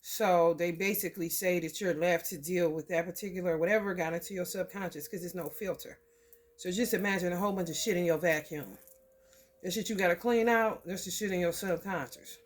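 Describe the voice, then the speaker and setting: A woman from the American Midwest giving a loose, profane tarot reading. Her throat is scratchy from allergies, and she keeps clearing it and laughing between thoughts. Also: on the low side, a little fast. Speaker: tarot reader. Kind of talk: casual spiritual reading to camera.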